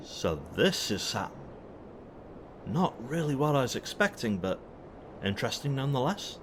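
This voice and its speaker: Male actor as flirty, trigger-happy space outlaw; swooping teasing delivery, rumbling noise behind. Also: very animated, normal speed, lightly acted. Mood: wry, taunting. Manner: playful, teasing, theatrical. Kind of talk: audio drama acting.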